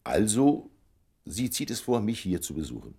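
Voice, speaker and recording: An actor in a German radio play voicing a courteous, diplomatic gentleman, urbane and measured, with a gravelly, husky voice. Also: fairly low pitch, very animated. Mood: calm.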